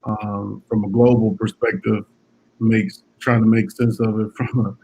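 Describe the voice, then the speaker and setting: A man's voice: on the low side, little pitch movement, measured pace, warm tone, a little hiss or hum, rough recording. A man hosting an interview, asking questions thoughtfully with many filler words. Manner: thoughtful, many ums and short affirmations. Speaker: interview host. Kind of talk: casual interview conversation.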